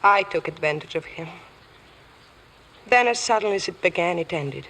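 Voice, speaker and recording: An actress playing a proud, world-weary widow accused of murder, speaking in a measured, guarded, formal way in an old radio drama. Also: female voice, lowish voice, lively intonation, pushed and bright, full character voice. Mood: weary, defiant. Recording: noisy vintage radio broadcast.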